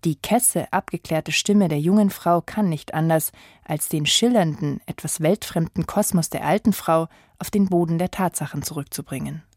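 A woman narrating a radio feature in a measured, reflective reading style. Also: low-pitched, darkish tone, dry recording. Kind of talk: scripted narration.

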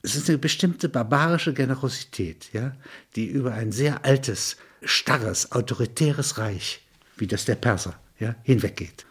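A man with a husky voice of medium pitch reads literary prose. His delivery is measured and reflective, as if thinking the material through. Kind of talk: literary narration with reflective talk.

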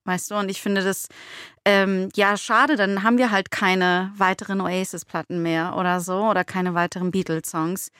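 Insistent woman, medium-pitched, debating casually. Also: fairly even intonation, normal speed, fairly bright tone, clear, resonant tone, dry recording, clean studio sound. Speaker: podcast co-host and radio play author.

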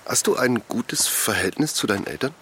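A middle-aged man acting a calm father of two in an audio drama, giving short, dry answers. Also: medium pitch, fairly quick, slight background noise, natural voice. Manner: calm, dry, brief replies. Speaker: local villager.

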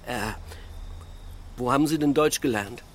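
A man plays a middle-aged husband on holiday in a radio play. He is curious and uneasy, speaking in a mid-pitched voice with hesitant, searching phrases over faint outdoor background noise. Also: measured pace, lightly acted. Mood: eager, curious. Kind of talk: naturalistic radio drama dialogue.

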